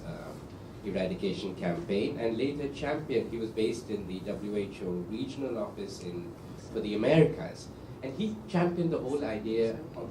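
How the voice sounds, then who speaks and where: A man speaking as an author at a book talk, telling an anecdote hesitantly with frequent ums. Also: fairly low pitch. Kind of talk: anecdotal public discussion. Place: lecture hall with audience. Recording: echoey hall, distant mic, clear noise.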